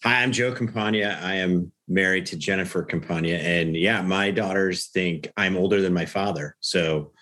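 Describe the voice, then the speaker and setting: A man's voice: low voice, moderate pace, hardly any pauses, a bit bright. A man in his forties from the Chicago area, a podcast guest chatting casually and joking about himself over a video call. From Chicago area, USA. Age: 40s. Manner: joking, self-deprecating.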